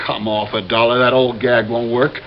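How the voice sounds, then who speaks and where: A man's voice: mid-range voice, little pitch movement, moderate pace, lightly acted. An actor playing a smug, menacing gunman who mocks and orders people around in a vintage radio drama. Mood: smug, mocking. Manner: sneering, mocking, commanding. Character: smug, menacing gunman villain, scornful schemer. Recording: muffled, hissy old-time radio recording.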